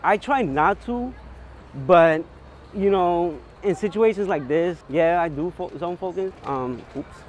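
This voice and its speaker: Young man, New York accent, casual slangy chat, somewhat high pitch, frequent "like", faint city noise behind. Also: a little slow. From New York City, USA. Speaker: street photographer, guest. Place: outdoors on a busy city street. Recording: clear outdoor street and traffic noise.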